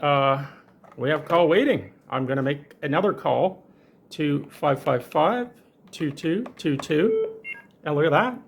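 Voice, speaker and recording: A man's voice: on the high side, vocal fry, a bit bright. A man presenting a casual hands-on tech tutorial in an informal, enthusiastic way.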